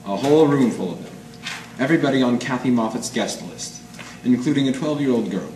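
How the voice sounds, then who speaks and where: A man's voice: mid-range voice, expressive, fairly quick, mellow, close to an everyday voice. A man playing a world-weary, cynical small-time detective in 1940s radio noir style, with wry, clipped hard-boiled slang. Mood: businesslike. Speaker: amateur stage radio-drama actor. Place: live performance in an art gallery. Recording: distant mic in echoey noisy room.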